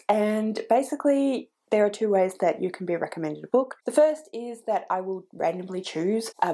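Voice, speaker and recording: A woman chatting casually to camera about book recommendations, sounding stuffy and croaky from a cold, wandering a little and correcting herself as she goes. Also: on the high side, a little mellow. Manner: chatty and meandering, with restarts and self-corrections. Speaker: book vlogger.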